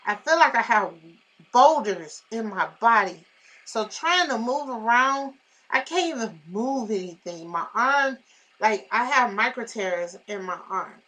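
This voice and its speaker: Female vlogger, naturally loud, forceful and high-pitched, blunt emphatic delivery for personal storytime venting. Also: poor audio. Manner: blunt, emphatic, animated retelling with repetitions.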